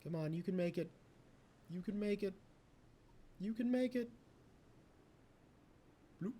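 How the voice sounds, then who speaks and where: A man's voice: high voice, slow pace, dark tone, slightly rough. A young man giving casual, rambling commentary while playing a game, often talking to the game and poking fun at himself. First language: English. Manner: rambling, self-mocking, talks to the game. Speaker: let's play host.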